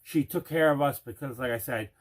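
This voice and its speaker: A man's voice: medium pitch, brisk. A man hosting a collector's video channel, chatting casually through an unboxing with emphatic, repeated phrases. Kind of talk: casual unboxing vlog chat.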